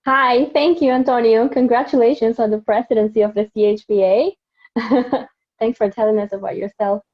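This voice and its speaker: A woman's voice: fairly high pitch, fairly even intonation, moderate pace. A woman in her thirties from Peru with a Peruvian Spanish accent, introducing herself as a webinar panelist. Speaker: panelist, lawyer. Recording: dull lo-fi webinar audio.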